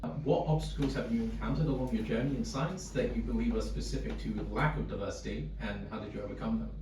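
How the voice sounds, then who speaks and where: A man interviewing, reading out brisk, direct questions. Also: on the low side, steady tone. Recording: very echoey room from far off, clear noise.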